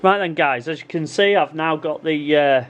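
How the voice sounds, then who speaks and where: A man's voice: a little high, moderate pace, a bit bright, lo-fi sound. A man giving a casual hands-on repair tutorial, informally addressing viewers and thinking aloud as he works. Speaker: repair hobbyist presenting his own video.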